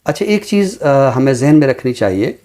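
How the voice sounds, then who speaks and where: A man's voice: mid-range voice, big pitch swings, moderate pace, warm tone. A man with a Pakistani accent speaks as a literary scholar on a talk show, explaining books in formal, learned language.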